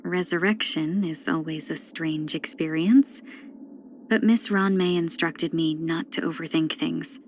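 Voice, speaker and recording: A gentle fox-woman from a video game, her voice slightly low and very unhurried. She is polite and wistful, with a quiet cunning under soft, measured phrasing that often thins into breathiness. A faint background noise sits behind her. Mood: reflective, calm. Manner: soft-spoken, measured, reflective, drifting into breathy near-whispers; polite and refined. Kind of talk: scripted game character voice lines.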